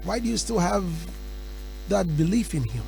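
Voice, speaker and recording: A man hosting a radio interview, chatty and animated. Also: sits high, normal speed, gravelly, faint music behind, low-quality recording. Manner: animated, chatty, acts out quotes. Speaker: radio host. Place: radio studio.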